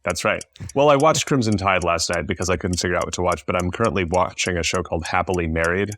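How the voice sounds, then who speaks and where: A man's voice: sits low, fast, a bit bright. A man from New York, a senior analyst, talking casually and with dry wisecracks. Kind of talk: casual podcast chat.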